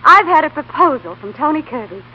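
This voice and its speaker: A woman's voice: sits high, very animated, brisk, pushed and bright, full character voice. An actress playing an eager, affectionate young woman in love, in a breathless 1930s radio drama style. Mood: excited, joyful. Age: young adult. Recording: dull 1930s radio broadcast recording.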